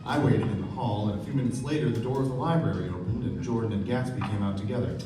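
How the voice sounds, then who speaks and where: A man playing a polite, observant young gentleman who narrates a radio play on stage. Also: fairly low pitch, fast, over music, lightly acted. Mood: measured, reflective. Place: theater stage before an audience. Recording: echoey stage, distant mic, noisy room.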